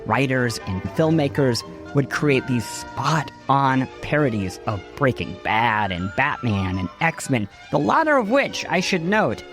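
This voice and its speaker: A man's voice: mid-range voice, very animated, a little slow, hardly any pauses, fairly bright tone, faint music behind. A man hosting a podcast, reading scripted narration in an articulate, emphatic way. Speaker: podcast host.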